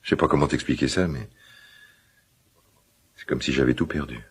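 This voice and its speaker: Middle-aged dad in a radio drama, super low raspy smoker voice, halting, guilty but tender. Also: male voice, exaggerated voice. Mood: pained, tender. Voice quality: gravelly, husky smoker's voice. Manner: hesitant, halting, confiding. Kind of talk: naturalistic French radio drama dialogue.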